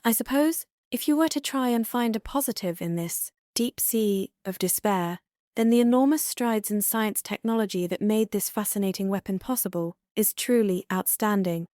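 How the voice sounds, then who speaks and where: A woman's voice: medium pitch, normal speed, dark tone, no echo, studio quality, close to an everyday voice. A woman playing a composed, reassuring TV news anchor in a disaster drama, talking conversationally as if live on air. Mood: grave, awed. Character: composed female TV news anchor during a catastrophe.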